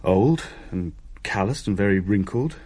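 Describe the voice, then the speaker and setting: A man's voice: on the low side, animated, a little slow, a little mellow. An actor playing a young man who tells of things in a hesitant, descriptive way in a naturalistic radio play. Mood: haunted, awed. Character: uneasy younger man recounting a ghost sighting.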